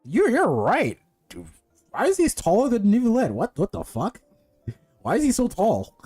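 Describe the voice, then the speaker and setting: A man giving casual, sweary, excitable reaction commentary as a gaming streamer. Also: sits high, normal speed, slightly rough, no echo, faint music behind.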